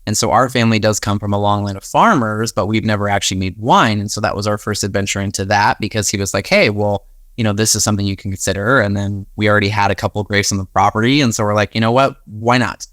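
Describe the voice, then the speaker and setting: Low-pitched young American man, close and dry. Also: fairly even intonation, fast talker, a bit bright, dry recording. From United States. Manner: chatty storytelling, often quoting his own thoughts. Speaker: podcast guest, winery and wedding venue manager. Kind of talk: conversational interview answers.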